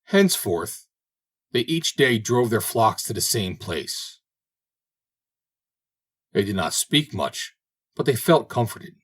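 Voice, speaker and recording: Male audiobook narrator for fairy tales: husky, highly animated, theatrical storyteller. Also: takes it slowly, long pauses. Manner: dramatic, expressive storytelling. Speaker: audiobook reader. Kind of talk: scripted fairy tale narration.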